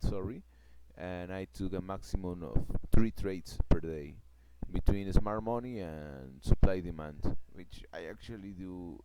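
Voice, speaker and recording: A very deep-voiced man with a Peruvian Spanish accent, talking slowly and haltingly as he explains his trading plan in a casual vlog. He keeps searching for words, and his speech is full of fillers and restarts. Loud noise runs under the whole recording. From Peru. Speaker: forex trader vlogger.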